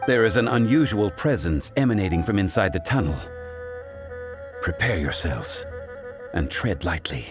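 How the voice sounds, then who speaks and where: A stern, formal investigator who takes charge and issues orders. His low voice moves with lively inflection over clear game music. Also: moderate pace, long pauses. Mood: serious. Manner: formal, commanding. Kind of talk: video game voice acting. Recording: game audio with music behind.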